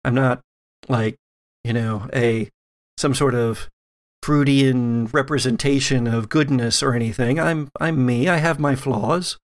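A man voicing a quiet, steely grandmother in a tabletop game, measured and firm. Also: medium pitch, fairly even intonation, natural voice. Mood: wry, earnest.

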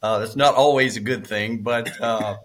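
A middle-aged man with a cold-roughened, raspy voice, telling his story as a podcast guest with many fillers and restarts. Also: medium pitch, fairly even intonation, moderate pace. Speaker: real estate investor guest. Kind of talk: casual storytelling interview.

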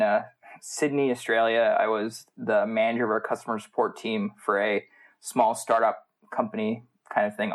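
American man in a casual interview. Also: on the low side. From United States. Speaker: MBA student, podcast guest.

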